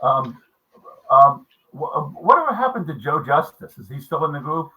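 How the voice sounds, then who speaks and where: A man's voice: a little high, very animated, normal speed, sharp tone, poor audio. A man in his seventies chatting casually in a meeting, rambling with many restarts and fillers. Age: 70s. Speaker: maker club member. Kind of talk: casual video meeting chat.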